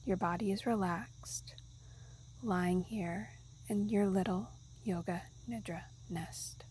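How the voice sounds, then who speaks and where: A woman guiding a meditation in a soft, soothing, breathy, half-whispered voice. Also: mid-range voice, slow, a little hiss or hum, poor audio. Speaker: yoga nidra guide. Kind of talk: guided meditation narration.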